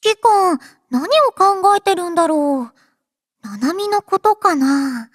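A woman's voice: very high voice, lively intonation, slow, dry recording, clean studio sound, full character voice. A woman voicing a playful, affectionate, childlike robot girl in an anime game, with a cutesy, teasing manner. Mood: curious, sheepish. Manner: cutesy, refers to herself in third person, teasing. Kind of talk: anime game character voice lines.

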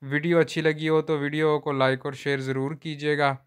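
A man's voice: fairly high pitch, little pitch movement, brisk, smooth. Man selling cattle. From Pakistan. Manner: repeats a stock religious phrase often. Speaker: livestock seller vlogger. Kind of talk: casual sales walkthrough. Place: outdoor cattle farm.

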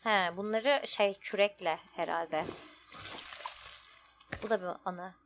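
A woman's voice: medium pitch, slow, slight background noise. A woman giving casual, informal let's play commentary, thinking aloud as she goes. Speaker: gaming streamer.

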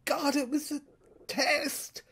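A woman performing a dramatic first-person monologue in halting short phrases with pauses, breathy and husky, sometimes dropping towards a whisper. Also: high-pitched, steady tone, fairly bright tone.

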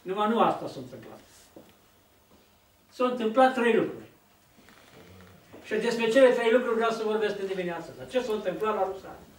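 Older male preacher, high voice, lively storyteller; distant mic in an echoey hall. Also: pauses often, slight background noise. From Romania. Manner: storytelling, acts out quoted dialogue, gestures widely. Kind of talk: sermon. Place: church hall, at a lectern. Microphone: far camera.

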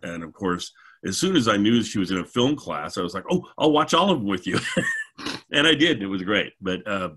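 A man's voice: lowish voice, sing-song, moderate pace, sharp tone, breathy edge. A middle-aged American man hosting a casual, chatty discussion over a video call, with an enthusiastic manner. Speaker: show host. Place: home, indoors, video call.

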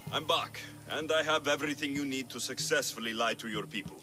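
A man's voice: mid-range voice, brisk, music in the background, close to an everyday voice. A man voicing a blunt, wry but helpful mercenary adventurer of ancient Greece in a video game, with dry humour. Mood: encouraging, wry. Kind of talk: video game character acting.

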